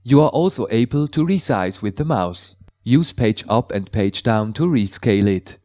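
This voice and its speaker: A man from Switzerland, a native Swiss German speaker with a Swiss German accent, calmly explaining how to install and use software in a tutorial voice-over, with slightly non-native phrasing. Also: on the low side, normal speed, no room sound, studio quality. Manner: careful, plain instructional delivery in slightly non-native English.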